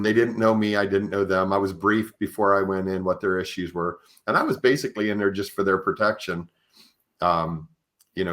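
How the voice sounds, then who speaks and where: Male podcast host, somewhat low voice, halting conversational storyteller. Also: a bit bright. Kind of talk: conversational interview with personal anecdotes.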